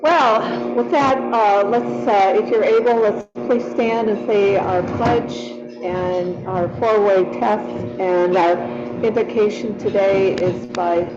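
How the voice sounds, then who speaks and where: Slow-spoken woman leading a meeting. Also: mid-range voice, fairly even intonation, reverberant, lo-fi sound, music in the background. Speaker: meeting presider. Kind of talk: running a club meeting. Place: club luncheon meeting room.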